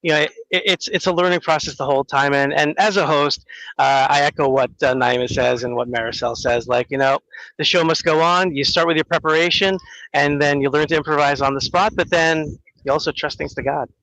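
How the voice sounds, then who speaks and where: A man's voice: on the high side, fairly even intonation, a little fast, fairly bright tone, no echo, low-quality recording. A male radio host in a panel chat, talking upbeat and rambling, with many fillers.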